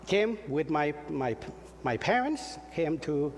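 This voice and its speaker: A middle-aged pastor delivers his sermon very slowly, in a fairly high voice. He stops often to search for words and jokes about himself. His voice rings out through a reverberant church hall over a faint room hum. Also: big pitch swings. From Laos. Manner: halting, with frequent fillers and light self-deprecating jokes. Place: church sanctuary.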